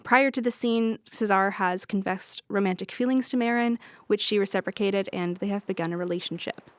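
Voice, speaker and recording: A woman's voice: mid-range voice, fairly quick, no room sound, clean studio sound. A woman in her twenties from Canada, explaining her work as a playwright in an interview. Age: 20s.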